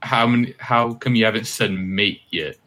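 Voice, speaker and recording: A man's voice: on the low side, normal speed, sharp tone. A man on a group call, joking and teasing the others. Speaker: music producer on a group call.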